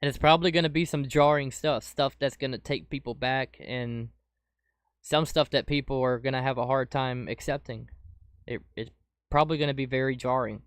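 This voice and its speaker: A man hosting a casual podcast and reacting to news clips. He is excitable, says "dude" a lot, and is losing his voice from a cold. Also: medium pitch, moderate pace, clear, resonant tone, dry recording, studio quality. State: losing his voice, getting sick. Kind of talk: casual commentary over clips. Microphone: close desk mic.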